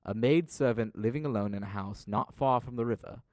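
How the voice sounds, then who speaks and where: Male narrator for literary audio drama, mid-range pitch, scripted storytelling read, dry acoustic with no room. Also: fairly quick, a little mellow, no echo.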